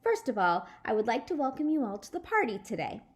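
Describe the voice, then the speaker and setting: A woman voicing a polite, formal father making measured announcements to children in a storybook. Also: a little high, big pitch swings. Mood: announcing. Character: formal, patient father addressing a party of children.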